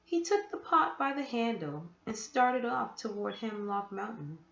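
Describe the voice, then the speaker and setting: Woman storyteller, children's read-aloud, very lively intonation, unhurried, roomy and slightly dull recording. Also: on the high side, creaky. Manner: deliberate, animated storytelling. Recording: echoey room, dull muffled sound.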